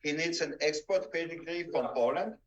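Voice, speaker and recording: Distant, echoey Austrian man lecturing. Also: on the high side. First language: German. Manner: halting, simple non-native English with deliberate emphasis. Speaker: presenter instructing dog-trial judges. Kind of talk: seminar lecture. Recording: dull, echoey room, distant microphone.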